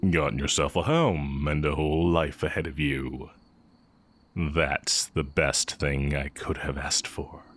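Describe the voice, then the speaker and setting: A man playing an exiled heretic dark-magic teacher in an intimate audio roleplay, wry and condescending yet fond of the listener. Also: extremely low pitch, unhurried, full character voice. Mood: mocking, fond.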